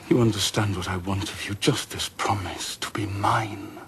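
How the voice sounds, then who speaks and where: An actor playing a proud, volatile period gentleman who swings between hushed pleading and anguished outbursts, in a film drama. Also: steady tone, moderate pace, noisy background, natural voice. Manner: shifts from low whispers to anguished shouts.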